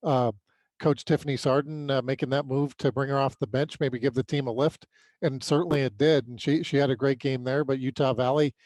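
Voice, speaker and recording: An American man co-hosting a sports podcast, giving an unscripted recap in a halting way with repeated words. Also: medium pitch, steady tone, a little mellow, no echo.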